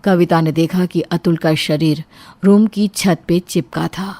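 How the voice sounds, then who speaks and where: Low-voiced woman narrating a horror story. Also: fairly even intonation, measured pace, dark tone.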